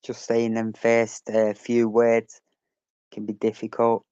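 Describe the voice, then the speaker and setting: A man's voice: mid-range voice, completely flat delivery, slow pace, mellow, clean tone, dry recording, muffled recording. A man hosting a casual livestream, chatting and reading out messages from his chat, swearing now and then.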